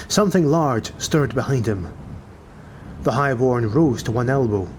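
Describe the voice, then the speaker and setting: A man's voice: medium pitch, darkish tone, slight background noise. A man narrating a fantasy audiobook in a dramatic, scripted storytelling style.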